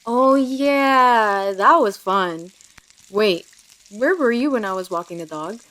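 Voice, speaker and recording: A woman's voice: a little high, slow pace, a bit bright. A woman playing a wry, foul-mouthed young woman in an audio drama, with a casual, dry, teasing delivery. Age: young adult.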